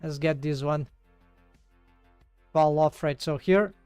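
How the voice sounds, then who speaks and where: A man's voice: a little high, steady tone, takes it slowly, a little mellow, smooth, dry recording, soft background music. A man with a Brazilian accent, working through a 3D rigging task live and thinking aloud with many fillers and restarts. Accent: Brazilian Portuguese. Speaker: 3D rigging streamer. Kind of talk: live tutorial while working.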